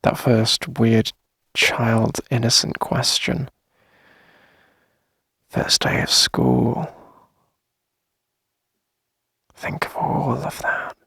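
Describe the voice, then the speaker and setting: A man playing a tender, reassuring boyfriend in an intimate ASMR roleplay, speaking softly and close to the mic with gentle, coaxing pauses. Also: no echo, heavily acted. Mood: soft, wistful. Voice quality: husky, breathy, creaky low murmur. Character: tender, reassuring boyfriend longing to start a family. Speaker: ASMR audio roleplay performer. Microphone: close dry microphone.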